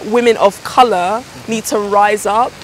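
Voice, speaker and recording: A woman talks casually, as if being interviewed. Her voice sits at a medium pitch for a woman, with some ambient noise around it. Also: steady tone, measured pace, low-quality recording. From London, UK. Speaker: interviewee, fashion designer.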